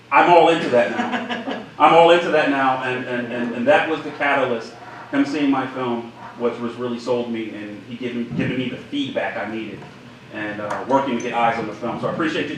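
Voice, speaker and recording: A filmmaker guest speaking to a group at a live event, jokey and animated, acting out quotes, recorded from a distance in an echoey room. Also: male voice, mid-range voice, noisy background.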